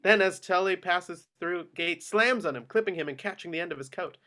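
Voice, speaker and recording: Male stage-directions narrator, fairly high voice, scripted screenplay read. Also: brisk, sharp tone.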